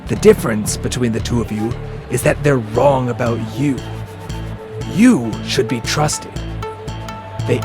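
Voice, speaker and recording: A man's voice: medium pitch, a little slow, pauses often, lightly acted. A man acting a shrewd, cynical ninja commander in an anime-style audio drama, speaking bluntly and matter-of-factly. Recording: music behind the voice.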